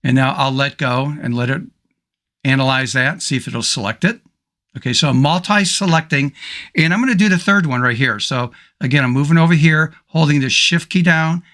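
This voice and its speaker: A middle-aged man teaching photo editing over a screen recording, slightly hoarse and thinking aloud with relaxed ums and restarts. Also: fairly high pitch, moderate pace. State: slightly hoarse, clearing throat. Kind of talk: screen-recorded software tutorial.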